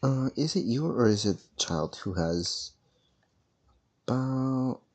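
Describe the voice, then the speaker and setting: A man giving casual playthrough commentary on a video game, hesitant and thinking out loud. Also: medium pitch, lively intonation, slow. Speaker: gaming video host.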